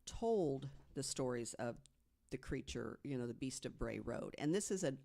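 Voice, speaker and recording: A podcast guest in relaxed discussion, with a voice of medium pitch. The delivery is that of an earnest storyteller making a case point by point. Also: moderate pace, creaky.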